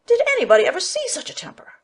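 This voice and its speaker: Woman doing a nosy middle aged busybody lady for an audiobook, blunt n emphatic, really animated pitch. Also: rapid-fire, full character voice. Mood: horrified. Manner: outspoken, emphatic. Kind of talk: dramatized audiobook acting.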